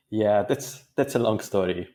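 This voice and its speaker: A man answering interview questions as a guest, in a thoughtful, measured way with small restarts. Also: on the low side, dark tone. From Hungary. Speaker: guest data engineer.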